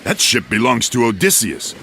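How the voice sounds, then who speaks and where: An actor playing a gruff, wry warrior companion in a video game, speaking dryly. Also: male voice, mid-range voice, big pitch swings, moderate pace, pushed and bright, slight background noise, heavily acted. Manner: dry, wry. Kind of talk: video game cutscene acting.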